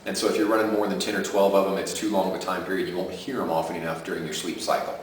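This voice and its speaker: A man with a deep voice pitching his sleep audio program in a chatty way and often adding "okay?" to his points. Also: little pitch movement, rapid-fire, a little hiss or hum. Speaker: self-help audio creator. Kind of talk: casual direct-to-camera pitch. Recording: roomy echo from a distant mic.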